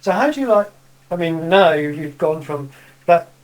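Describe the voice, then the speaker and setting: A man's voice: on the high side, lively intonation, normal speed, darkish tone, poor audio. A man interviewing casually, phrasing his questions tentatively and often ending them with a tag.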